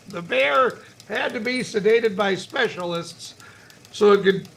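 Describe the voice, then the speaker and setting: This is a middle-aged American man with a notably high, bright voice. He talks casually as a podcast co-host, in a conversational storytelling way. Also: slow pace, creaky. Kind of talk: casual chat and anecdote.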